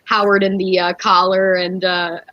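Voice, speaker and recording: A woman's voice: lowish voice, flat delivery, moderate pace, muffled recording. A woman guest performer chatting casually in a comic interview, playful and tongue-in-cheek.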